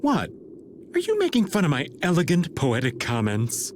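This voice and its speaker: Wry, swooping middle-aged rogue. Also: male voice, a little high, wildly swooping pitch, moderate pace, background noise. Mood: mock offended. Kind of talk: English video game voice acting.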